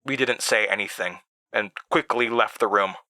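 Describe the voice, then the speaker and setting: Rattled, stammering horror drama narrator. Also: male voice, on the low side, sharp tone. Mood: uneasy recounting. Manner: halting, stammering, nervous self-interrupting. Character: anxious, rattled man recounting eerie childhood memories to recorders. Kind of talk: first-person horror audio drama monologue.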